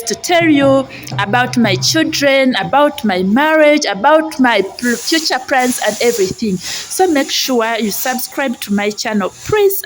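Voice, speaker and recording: A woman from Uganda with a Ugandan accent talks to viewers in a chatty personal vlog, often repeating phrases for emphasis. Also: on the high side. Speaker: vlogger and housemaid. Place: outdoors on a residential street. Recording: noisy outdoor ambient sound. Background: outdoor ambience noise.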